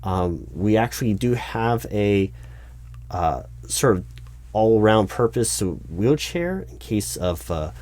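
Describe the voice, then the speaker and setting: A man's voice: lowish voice, a little mellow, no echo. A man playing a flustered, officious recruiting manager in a one-sided job interview roleplay, stammering and repeating himself. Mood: awkwardly accommodating. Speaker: roleplay performer.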